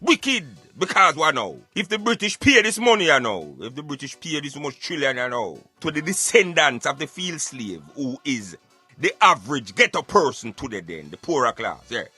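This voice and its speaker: A man with a Jamaican accent giving emphatic, argumentative, streetwise opinions in animated radio banter. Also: on the high side, normal speed, husky, no echo, soft background music. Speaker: radio show host.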